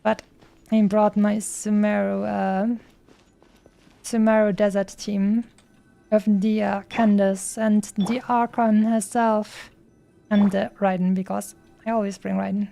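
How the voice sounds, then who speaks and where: A woman's voice: medium pitch, flat delivery, takes it slowly, vocal fry, mellow. A woman game streamer chatting casually in a rambling way with playful, cutesy wording. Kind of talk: casual livestream chat over gameplay. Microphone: streaming microphone. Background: faint game music.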